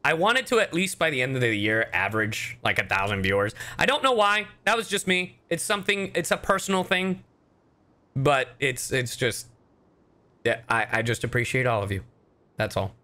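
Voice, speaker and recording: Male streamer, lively swinging pitch, bright forceful voice, casual informal chat, animated delivery. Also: moderate pace. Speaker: streamer, host. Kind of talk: casual livestream chat over a game.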